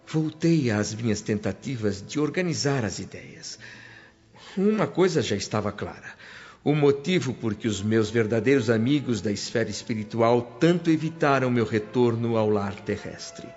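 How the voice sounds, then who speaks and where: A man's voice: mid-range voice, lively intonation, unhurried, darkish tone. An actor with a Brazilian accent playing an anguished spirit of a dead father, narrating emotively in a radio drama. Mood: sorrowful, bewildered. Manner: sorrowful, emotive. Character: anguished dead father's spirit recounting his return home. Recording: muffled audio with music underneath.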